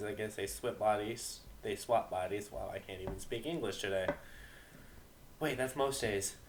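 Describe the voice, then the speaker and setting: A man with an average-pitched voice hosts in a loose, chatty way. He rambles as he explains things and readily makes fun of himself. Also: reverberant, noisy background. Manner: rambling, self-deprecating. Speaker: host and reader of a dramatic reading. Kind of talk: casual chat.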